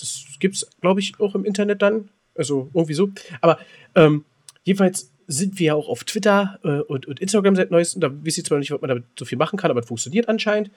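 Husky-voiced man in casual podcast banter. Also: on the high side. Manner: colloquial and blunt, with crude slang. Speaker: podcast co-host.

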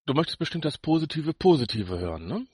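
A man's voice: a little high, big pitch swings, fast, darkish tone, husky, dry recording, clean studio sound. A man co-hosting a podcast in casual banter, with a teasing, jokey manner. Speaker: podcast co-host.

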